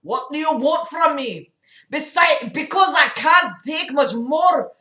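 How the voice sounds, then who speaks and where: Woman doing a frantic, high-pitched tormented man. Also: lively intonation, slow pace, pushed and bright, full character voice. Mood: desperate, tearful. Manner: desperate, overwrought, pleading. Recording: echoey room.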